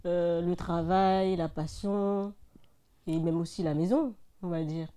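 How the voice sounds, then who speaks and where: Mellow-voiced female show host. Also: lowish voice, slow. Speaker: interview host. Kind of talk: hosting an interview show.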